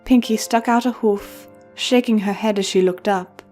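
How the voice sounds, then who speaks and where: A woman's voice: mid-range voice, steady tone, normal speed, darkish tone. Woman narrating a story over music. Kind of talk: scripted audiobook narration of a fan story. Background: background music.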